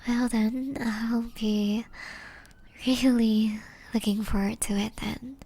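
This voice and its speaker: Woman acting a slow, whispering, tender young ex-girlfriend. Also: on the high side, fairly even intonation, dark tone, heavily acted, rough recording. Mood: shy, relieved. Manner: breathy, tender, hesitant. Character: tender, remorseful young ex-girlfriend confessing lingering love. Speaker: ASMR roleplay voice actor. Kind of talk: ASMR roleplay, soft whispered intimate delivery.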